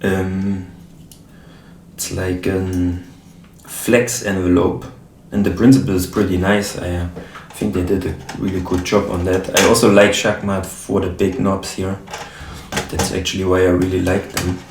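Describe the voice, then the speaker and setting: A low-voiced man with a German accent talks in a slow, unhurried way while he works. He often stops to hunt for English words. He sits well back from the mic in a roomy space with faint background noise. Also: level tone, far from the mic. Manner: halting, searching for English words. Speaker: modular synth hobbyist. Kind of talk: casual talk while assembling gear.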